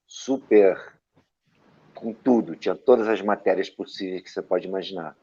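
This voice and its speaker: A man from Brazil, an actor and director, telling stories from his career as an interview guest, with a lively anecdotal manner and frequent tag questions. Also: low voice, normal speed, slightly rough. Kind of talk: storytelling interview answers. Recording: dull lo-fi audio.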